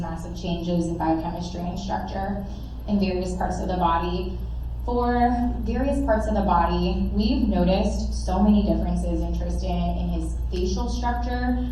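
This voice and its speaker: A woman from Trinidad with a Trinidadian accent talks to a room of parents in a chatty, encouraging way, doubling back on phrases for emphasis. Her voice is mellow and dark. It is heard from a distance in a very echoey room with faint background noise. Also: fairly low pitch. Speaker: panel speaker at a parent meeting. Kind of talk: casual presentation to parents. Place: meeting room with audience. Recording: echoey room, mic far off.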